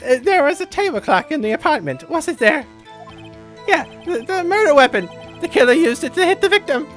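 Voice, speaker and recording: A man voicing a nervous, easily indignant murder witness in a video game, stammering and spluttering excitably. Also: very high-pitched, fairly quick, soft background music, voice pushed up, exaggerated voice. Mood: nervous, hesitant. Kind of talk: hammy video game character voice.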